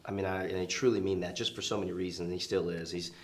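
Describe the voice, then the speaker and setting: A man's voice: sits low, flat delivery, fast talker, gravelly. A man in his forties from the southern US, telling personal stories as an interview guest in an informal, reflective way. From southern United States, born in Vietnam. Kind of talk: casual personal storytelling.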